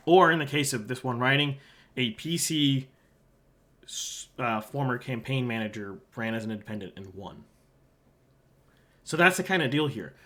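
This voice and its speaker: A man from Halifax with a sore throat, giving casual solo commentary as he walks through an election forecast map. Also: mid-range voice. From Halifax, Canada. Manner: casual and conversational, drops quieter aside asides while pointing at a map. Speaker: election analyst, channel host.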